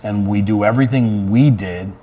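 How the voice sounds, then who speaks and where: A man with a low voice talks casually as an interview guest. He is earnest and conversational, often repeating a phrase to press it home. There is clear ambient noise behind him. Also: moderate pace, warm tone, rough recording. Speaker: fire chief, interview guest. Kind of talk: casual interview talk about work.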